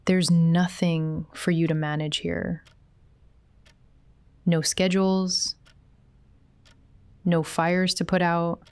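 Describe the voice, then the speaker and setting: A woman playing a possessive, quietly controlling therapist in an intimate ASMR roleplay, speaking softly and coaxingly. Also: fairly low pitch, clean tone, no echo. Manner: soft, unhurried, coaxing.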